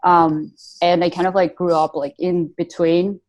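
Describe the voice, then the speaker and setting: Low-voiced woman with a Venezuelan accent. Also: level tone, unhurried, darkish tone. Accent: Venezuelan Spanish. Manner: rambling, heavy on "like" fillers. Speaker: guest artist. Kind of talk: casual interview chat.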